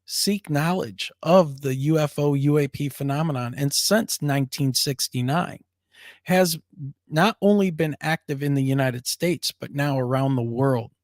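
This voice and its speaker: An upbeat man hosts a casual live chat, laughing easily between remarks. His voice sounds scratchy and worn, pitched a little high. The sound is dry, with no room echo. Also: measured pace. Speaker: co-host of a paranormal talk show.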